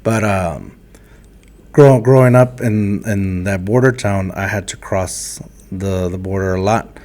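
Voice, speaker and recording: A young adult man from a Texas border town, hosting a podcast in a casual, halting way full of restarts. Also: low voice, darkish tone. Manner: halting, many restarts and fillers. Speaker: podcast host. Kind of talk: casual interview chat.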